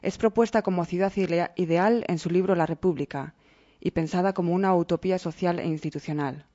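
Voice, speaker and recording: Measured documentary narrator, lo-fi. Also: mid-range voice, warm tone.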